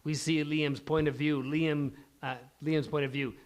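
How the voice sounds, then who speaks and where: A man's voice: a little high, little pitch movement, unhurried. A man narrating a comedy script aloud at a live table read, dramatically playing up the action. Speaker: stage-direction narrator at a live script reading.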